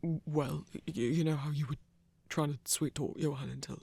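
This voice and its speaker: A performer playing a flustered, protective hunter who stammers haltingly in a breathy, intimate ASMR roleplay. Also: medium pitch, steady tone, mellow, no room sound. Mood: flustered, evasive. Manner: halting, stammering, awkward, sighing.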